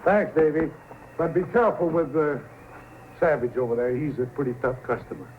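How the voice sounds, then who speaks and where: A man's voice: a little high, a little fast, a little hiss or hum, lightly acted. An actor playing a confident, fatherly male sled-dog racer with a folksy, good-natured manner in an old-time radio drama. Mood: hearty, fatherly. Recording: dull old radio recording with music.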